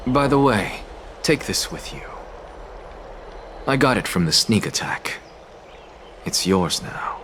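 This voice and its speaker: An actor playing a gruff, world-weary male warrior in a video game, sardonic, guarded and reluctant. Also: lowish voice, expressive, slow pace, long pauses, a bit bright, slight background noise. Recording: studio voice over prominent music.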